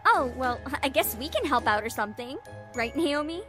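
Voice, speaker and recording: A blunt, excitable young woman in an anime-style game, played by an actress. Her voice is high and informal. Light game music plays underneath. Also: normal speed, heavily acted. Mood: blunt, eager. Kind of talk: anime-style visual novel game acting. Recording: game music and jingles behind.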